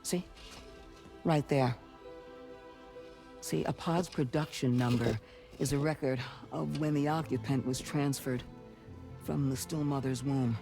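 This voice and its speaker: A calm, reassuring game doctor, with a gravelly mid-pitched voice. The delivery is measured and gentle as things are explained, with music playing behind. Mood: curious, explanatory. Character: calm reassuring doctor examining a pregnant woman and a pod. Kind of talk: naturalistic game cutscene acting.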